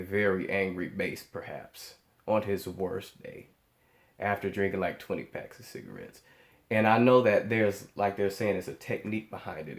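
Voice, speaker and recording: A lowish-voiced American man records reaction commentary in a roomy, echoing space. He talks casually and emphatically, repeating phrases for weight and often pausing to hunt for the right word. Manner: halting, searching for words. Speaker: music reaction channel host. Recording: echoey, roomy sound.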